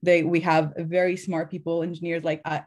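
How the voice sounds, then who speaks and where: Earnest young woman, low flat voice. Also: brisk. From Afghanistan. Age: young adult. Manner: earnest, filler-heavy with many "like"s and restarts. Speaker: guest, community organizer. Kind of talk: livestream conversation.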